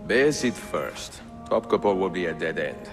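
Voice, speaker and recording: An actor playing a seasoned, calm, determined Italian master assassin, with an Italian accent and a composed, deliberate manner, in a dramatic game. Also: male voice, sits low, over music. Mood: gentle, assured. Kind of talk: dramatic game cutscene acting.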